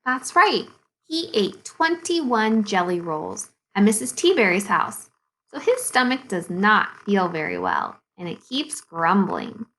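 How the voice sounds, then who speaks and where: A woman teacher reading a storybook aloud to second graders in an expressive, deliberate way, with dramatic pauses. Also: mid-range voice, rough recording. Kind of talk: storybook read-aloud for young children.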